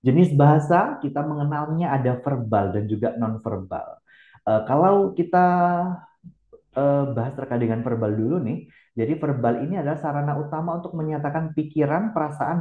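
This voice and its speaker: A man giving a lecture, explaining step by step with many hesitant filler pauses. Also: medium pitch. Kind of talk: recorded lecture. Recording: echoey room, phone-like band.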